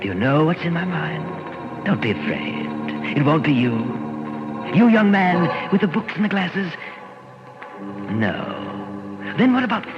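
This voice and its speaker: An actor playing Death as a brooding, menacing killer, speaking in theatrical soliloquy in an old radio horror drama. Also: male voice, a little high, very animated, unhurried, long pauses. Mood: menacing musing. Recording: dull old radio recording, music behind.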